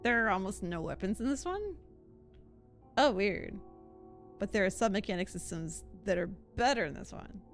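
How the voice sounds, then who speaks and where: Lively woman chatting on a game stream. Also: medium pitch, moderate pace, soft background music. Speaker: live streamer. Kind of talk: casual chat while streaming a game.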